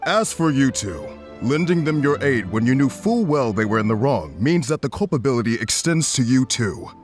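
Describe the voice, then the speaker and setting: A man's voice: mid-range voice, big pitch swings, moderate pace, lightly acted. A man playing a stern commission officer in a video game, speaking in a formal, authoritative way. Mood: measured, lenient. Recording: music under the voice.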